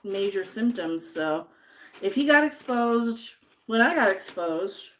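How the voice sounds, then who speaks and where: A woman's voice: mid-range voice, lively intonation, takes it slowly, a bit bright, a little hiss or hum, poor audio. A woman chatting casually on a livestream while she cooks in her home kitchen, sniffly from chopping jalapenos and reading viewer comments aloud. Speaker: livestream host cooking.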